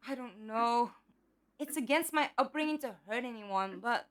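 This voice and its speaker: A woman's voice: fairly high pitch, moderate pace, natural voice. A woman playing an earnest, indignant female captive with memory loss in an audio drama. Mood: angry. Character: Five, earnest indignant female abductee with amnesia.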